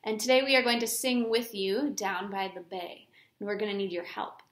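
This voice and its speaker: A woman's voice: medium pitch, steady tone, moderate pace, a bit bright. A young woman hosting a children's sing-along story time, explaining things simply to young children. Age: young adult. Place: living room indoors. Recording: roomy home recording with banjo. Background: banjo playing.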